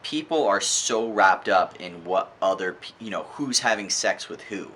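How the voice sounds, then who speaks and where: A man's voice: deep voice, animated, normal speed, bright, forceful tone, slight background noise. A man giving an informal, opinionated book review monologue in a conversational, emphatic way, repeating words for effect.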